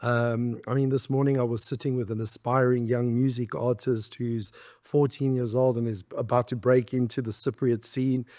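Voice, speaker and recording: A man's voice: mid-range voice, level tone, normal speed, mellow. Middle-aged guy from south africa, guest on a podcast, rambles thoughtfully, laughs a lot. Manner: expansive, reflective, laughs easily. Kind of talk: conversational interview.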